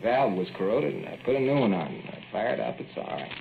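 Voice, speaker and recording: An actor playing a gruff, secretive small-town handyman who speaks tersely in a gravelly rural voice, in an old radio drama. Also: on the low side, big pitch swings, brisk, slight background noise. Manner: terse, hushed, rural dialect. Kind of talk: 1940s radio acting. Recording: tinny old radio broadcast, faint music.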